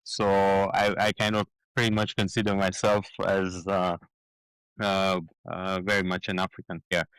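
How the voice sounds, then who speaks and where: A man in his fifties from Kenya, a guest describing his business in an interview, speaking haltingly with many fillers and restarts. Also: low-pitched, level tone, fairly bright tone, clear, resonant tone, no room sound, rough recording. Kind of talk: casual interview talk.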